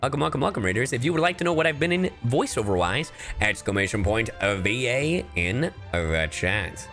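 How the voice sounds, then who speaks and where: Animated man live-streaming a game, music behind. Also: medium pitch, moderate pace. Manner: teasing, crude joking. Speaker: livestreamer. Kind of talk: casual game stream commentary. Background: video game music.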